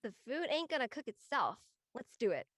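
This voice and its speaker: A woman's voice: fairly high pitch, very animated, normal speed, fairly bright tone, no echo, clean studio sound. A young woman acting a sincere, conversational college student in an online drama. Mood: playful, bossy. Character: earnest young Filipino-American college woman, frank among friends.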